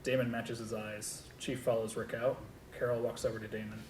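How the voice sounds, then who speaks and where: Man, script narrator, monotone, stage directions, roomy echo with faint rumble. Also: a little fast. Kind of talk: scripted narration at a table read. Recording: echoey room with low rumble.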